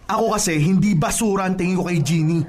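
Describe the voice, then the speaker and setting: An actor playing an earnest, caring plumber who gives admonishing advice in a radio drama. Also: male voice, sits high, a little mellow, a little hiss or hum, lightly acted. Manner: admonishing, deliberate advice-giving. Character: earnest, caring plumber, a man scolding a friend. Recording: music behind the voice.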